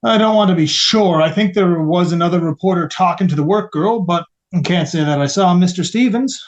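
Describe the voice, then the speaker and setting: A careful 1890s police captain on the witness stand , played by a man, with a fairly high male voice. He gives short, guarded answers in a trial reenactment, and the sound is a little dull and lo-fi. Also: a little fast, lightly acted. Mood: guarded, terse. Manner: clipped, hedging answers. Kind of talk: audio reenactment of a court transcript.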